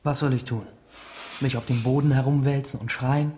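A young actor playing a flippant, stubborn teenage brother in trouble in a radio drama. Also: male voice, mid-range voice, fairly even intonation, moderate pace, noisy background, natural voice.

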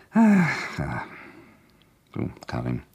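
A man playing a stubborn, evasive crime writer in a radio drama, speaking haltingly and often asking questions. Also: extremely low pitch, vocal fry, dark tone, husky, heavily acted.

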